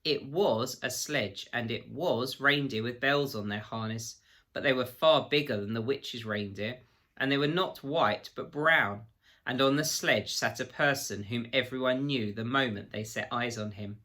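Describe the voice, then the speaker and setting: Man reading a story, bright voice. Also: medium pitch, unhurried. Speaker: teacher reading to pupils. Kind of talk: children's storybook narration.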